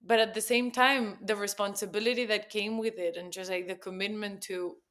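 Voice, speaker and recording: A woman's voice: mid-range voice, moderate pace. A woman in her thirties from Catalonia with a Spanish accent, talking candidly and expressively as a podcast guest. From Catalonia, Spain. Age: 30s. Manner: candid, expressive, sprinkles in swearing and "like". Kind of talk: casual podcast chat.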